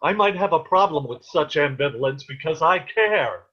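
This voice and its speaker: A man's voice: a little high, expressive, normal speed, slightly rough. A man playing a stern, protective peasant father in a table read of a period play, with ornate old-fashioned diction, over a video call. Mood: anguished. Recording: dull, lo-fi online call.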